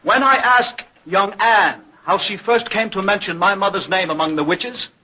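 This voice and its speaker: Indignant, high-voiced young man, husky. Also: pushed and bright, full character voice, rough recording. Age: young adult. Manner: insistent, emphatic. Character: earnest, indignant young son defending his accused mother.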